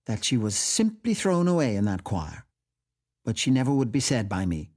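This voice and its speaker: A man's voice: mid-range voice, animated, moderate pace, warm tone, husky. A man voicing a fiery, indignant old aunt in a storybook, emphatic and scolding.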